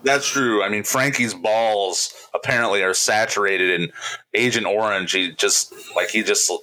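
A man's voice: on the low side, normal speed, bright, forceful tone, rough recording. A man from Indiana co-hosting a casual movie podcast, rambling and joking, often breaking into laughter. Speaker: podcast co-host.

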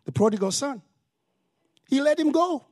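An older male pastor with a Zimbabwean accent preaches with emphatic force. His voice sits high for a man and climbs further when he drives a point home. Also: lively intonation, moderate pace, a little mellow. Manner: emphatic preaching with rising exclamations. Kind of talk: sermon.

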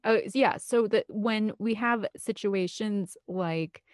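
A woman's voice: medium pitch, takes it slowly, no echo, studio quality. A woman speaking as an interview guest in a casual, rambling and emphatic conversational way. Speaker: interview guest, film composer and director.

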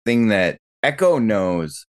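Guy on a podcast, kinda low voice, earnest n informal, just chatting. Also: big pitch swings, takes it slowly. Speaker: podcast panelist. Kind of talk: casual chat.

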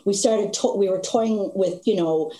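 Older actress, mellow voice, echoey room. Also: female voice, medium pitch, unhurried. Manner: animated storytelling with frequent laughs. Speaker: stage actress, guest. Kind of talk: conversational interview.

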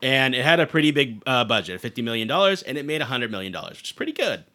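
A man's voice: medium pitch, very animated, quick pace, pushed and bright. A man hosting a podcast, chatting casually about movies in a slangy, storytelling way. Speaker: podcast host.

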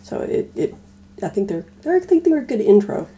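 A woman's voice: mid-range voice, very animated, a little fast, mellow. A woman co-hosting a podcast, chatting casually. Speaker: podcast co-host. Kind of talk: casual chat.

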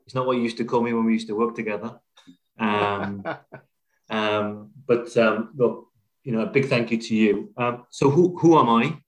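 Middle-aged British male business leader as interview guest, lower register, reflective and halting conversational storytelling. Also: poor audio. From Wales, UK. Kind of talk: conversational interview, telling own career story.

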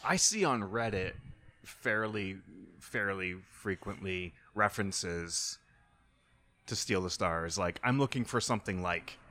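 A man's voice: low-pitched, measured pace, pushed and bright. A man hosting a casual interview, talking in a conversational way as he shares his own thoughts with a guest. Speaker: interview host.

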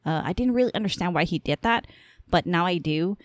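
A woman's voice: lowish voice, lively intonation, brisk, no echo. A young adult woman hosting a solo podcast, chatting candidly and rambling, coughing now and then from allergies. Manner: rambling, candid, swears casually. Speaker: podcast host. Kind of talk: casual solo podcast chat. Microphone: headphones on, home podcast mic.

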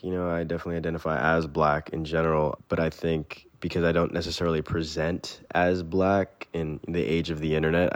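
A man's voice: a bass voice, no room sound. A man, an actor being interviewed, answering thoughtfully and a little hesitantly, with restarts. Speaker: actor guest.

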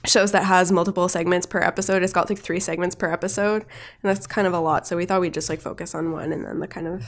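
A woman co-hosting a casual podcast, her voice a touch low and stuffy from a cold. She rambles and fills every pause with fillers. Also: fairly even intonation. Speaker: podcast co-host. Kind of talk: casual cartoon review chat.

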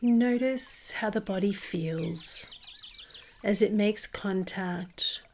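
A woman narrating a guided meditation in a soothing, gentle and unhurried manner. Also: medium pitch, slight background noise. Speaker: meditation podcast host and guide. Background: music behind the voice.

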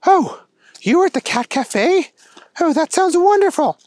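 A man's voice: very high-pitched, animated, unhurried, a little mellow, no room sound, voice pushed up. A man voicing an officious, gushing female police chief in a children's story.

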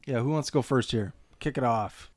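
A man's voice: mid-range voice, brisk, darkish tone, dry recording. A man hosting a casual podcast discussion, informally steering the chat along. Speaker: podcast host.

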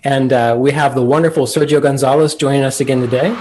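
A man's voice: mid-range voice, steady tone, quick pace, darkish tone, a little hiss or hum. A man hosting a podcast in a casual, hesitant and good-humoured way. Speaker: podcast host.

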